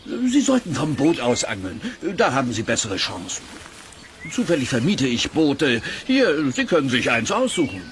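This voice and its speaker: A man's voice: mid-range voice, wildly swooping pitch, normal speed, slight background noise. An actor playing a pushy, boastful lakeside innkeeper with a dramatic, coaxing salesman's manner in a children's radio play.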